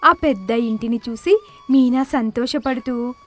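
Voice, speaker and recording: A woman's voice: fairly high pitch, unhurried, faint music behind. A woman narrator reading a scripted moral story.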